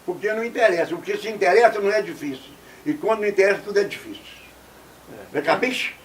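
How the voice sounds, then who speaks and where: An older man interviewed about his family, speaking emphatically and growing loud as he makes his point. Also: sits high, fast talker, far from the mic, slight background noise, rough recording. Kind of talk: casual recollection.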